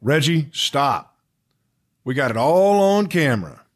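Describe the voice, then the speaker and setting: A man playing a firm, no-nonsense school principal in an amateur radio play, speaking in a measured way. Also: fairly high pitch, big pitch swings, exaggerated voice. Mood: stern.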